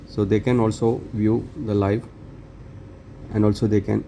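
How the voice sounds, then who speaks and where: A man presenting an unscripted step-by-step tech tutorial in halting, short phrases with non-native phrasing. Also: fairly low pitch, little pitch movement, vocal fry, warm tone, slight background noise.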